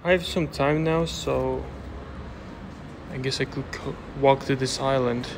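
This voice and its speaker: A man's voice: medium pitch, steady tone, a little slow. A young man chatting casually as a travel vlogger, thinking aloud about his route while outdoors. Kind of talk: casual travel vlog narration. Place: outdoors by a town and lake. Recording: loud outdoor noise, traffic and wind.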